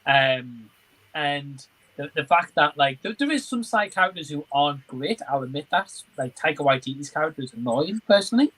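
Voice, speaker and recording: A man's voice: a little high, lively intonation, moderate pace, a bit bright, husky, poor audio. A man hosting a livestream, chatting informally and agreeably, rambling a little and reading out comments. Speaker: livestream host. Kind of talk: casual livestream chat, reading out viewer comments.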